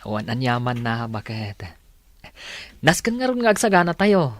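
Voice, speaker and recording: A man playing a supportive, cheerful young husband in a radio drama, with an Ilocano accent and an expressive, reassuring manner. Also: mid-range voice, moderate pace, dry recording. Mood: proud, delighted. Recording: music bed behind the voice.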